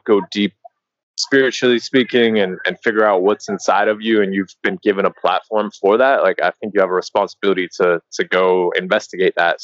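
A man's voice: low-pitched, no echo, muffled recording. A man in his early thirties from Colorado, a podcast guest chatting casually in a laid-back way, full of fillers and restarts and calling the host "man". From Colorado, USA. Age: early 30s. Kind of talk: casual interview chat.

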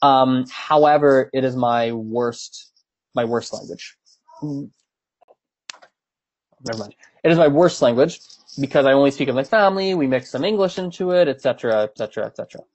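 A man's voice: medium pitch, normal speed, long pauses, a little mellow, highs cut off. Meandering young man, Gujarati native. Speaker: streamer answering viewer questions. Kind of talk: casual livestream chat. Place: at home.

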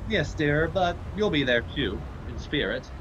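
An actor playing a wry, brisk, commanding amateur sleuth who talks in a clipped, teasing way in a radio play. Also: male voice, fairly high pitch, sing-song, dull audio, very noisy. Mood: urgent. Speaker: actor in live radio theatre. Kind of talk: vintage radio play acting.

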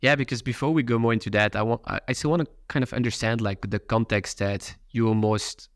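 A man's voice: medium pitch, steady tone, brisk, no room sound, studio quality. A man hosting a podcast, reading an introduction and then asking loose, rambling questions. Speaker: podcast host.

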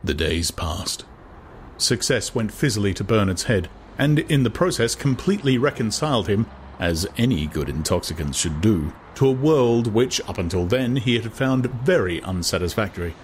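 A man's voice: fairly low pitch, animated, moderate pace. A man narrating a literary novel as a dramatized audiobook. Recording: clear background noise.

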